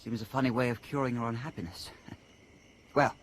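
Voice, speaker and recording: A man's voice: mid-range voice, fairly even intonation, fairly quick, slight background noise, natural voice, low-quality recording. An actor playing an awkward, self-conscious man who feels like an outsider, in a radio drama. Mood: wry. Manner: hesitant, self-conscious, deferential. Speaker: Bernard Marx. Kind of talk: BBC radio drama acting.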